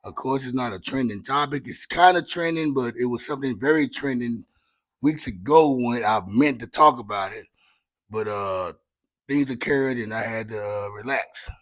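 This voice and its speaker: Raspy-voiced man hosting a casual chat. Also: medium pitch, normal speed, fairly bright tone, dry recording. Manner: loose, candid, slangy and profane. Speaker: podcast host.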